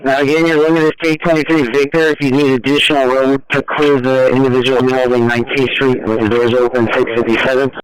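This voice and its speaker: A man's voice: mid-range voice, level tone, fast, few pauses, clean tone, rough recording. Courteous male dispatcher on two-way radio. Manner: procedural, courteous. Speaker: train dispatcher. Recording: transit radio dispatch audio.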